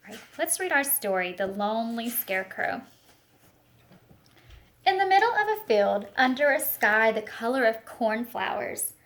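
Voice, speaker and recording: A woman reading a picture book aloud to young children, expressive and speaking to them directly with teacher-like questions and asides. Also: fairly high pitch. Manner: expressive storytelling, talks directly to young listeners.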